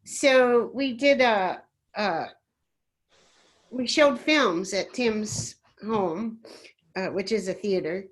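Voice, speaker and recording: A woman's voice: medium pitch, slow pace, long pauses. A woman chatting and telling an anecdote in a casual way on a video call. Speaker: musician, salon participant.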